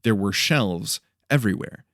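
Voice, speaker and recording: A man's voice: lowish voice, expressive, normal speed, fairly bright tone, no room sound, clean studio sound. Man narrating a fantasy audiobook. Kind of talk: scripted story narration.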